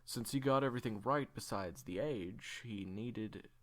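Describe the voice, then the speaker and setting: A man playing a weary, secretive assassin in an audio drama, telling a dark past haltingly in a gravelly voice that slips toward a whisper. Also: fairly low pitch. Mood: grim, subdued. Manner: halting, deliberate, with heavy pauses. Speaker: audio roleplay voice actor. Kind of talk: hushed confessional storytelling in audio drama.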